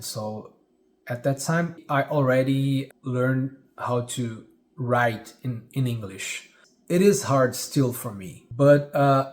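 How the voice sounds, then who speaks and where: A man's voice: mid-range voice, a little mellow. A Brazilian man in his forties with a Portuguese accent, a musician speaking earnestly to camera from his living room. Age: 40s. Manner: earnest, reflective, pauses with fillers. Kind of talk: direct-to-camera vlog. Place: living room of a home, indoors. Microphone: camera at head-and-shoulders framing.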